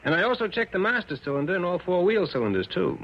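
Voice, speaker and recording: An actor playing an earnest, folksy mechanic who sounds sure of himself in an old radio drama. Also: male voice, sits high, very animated, fast. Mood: assured. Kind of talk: 1960s radio drama acting. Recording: dull old radio recording.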